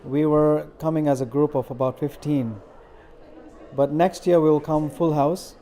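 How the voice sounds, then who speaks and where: Earnest middle-aged man, mellow voice. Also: a little high, fairly even intonation. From India. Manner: earnest, measured with frequent fillers. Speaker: interviewee, community organizer. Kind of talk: interview answers.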